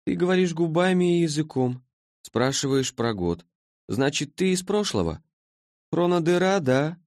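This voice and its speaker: A man's voice: a little high, expressive, normal speed, a little mellow, dry recording, clean studio sound, pitched above the performer's own voice. A man voicing a languid, sleepy female being from the far future in an audiobook, with a listless, clinical drawl. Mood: detached, curious.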